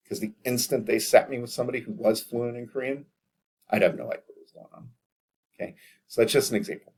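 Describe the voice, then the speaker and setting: A man in his thirties talks his class through a lesson in a relaxed, plain-spoken way. His voice is low and gravelly with a husky edge. He keeps pausing to check in with "okay?" and "right?". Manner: casual, direct, repeats "okay?" to check in. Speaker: accounting lecturer. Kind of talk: recorded class lecture.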